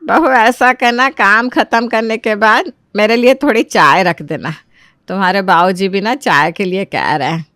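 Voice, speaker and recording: A woman's voice: mid-range voice, normal speed, fairly bright tone, dry recording, studio quality, lightly acted. A woman playing a bossy, nagging older mother-in-law who scolds and demands in a dramatized moral story. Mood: instructing. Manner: scolding, demanding, rustic turns of phrase.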